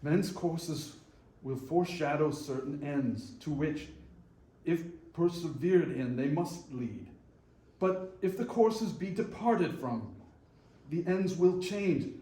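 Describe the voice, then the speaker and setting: A man's voice: on the high side, animated, takes it slowly, dark tone. A man playing a frightened, repentant old miser in a theatrical readers theater performance, earnest and pleading. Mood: grave, fearful. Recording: echoey room.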